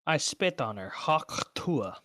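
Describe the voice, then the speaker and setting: A man's voice: on the high side, big pitch swings, takes it slowly, sharp tone, no echo. Joking man in dry-sounding game chat. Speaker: player in a tabletop game.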